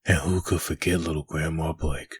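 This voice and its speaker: Man acting a husky, brooding, low-voiced widower-like hero. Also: fairly even intonation, moderate pace, heavily acted. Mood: wistful. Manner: brooding inner monologue. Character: brooding, lovesick dead husband haunted by his wedding memories. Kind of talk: dramatic comic dub narration.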